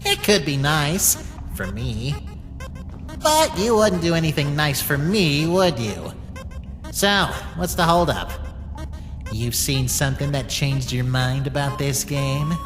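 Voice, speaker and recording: An actor voicing a scheming, bitter little talking flower in a dramatic comic dub, with a taunting, drawn-out delivery. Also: medium pitch, sing-song, a bit bright, in a large hall, soft background music. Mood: sly, taunting.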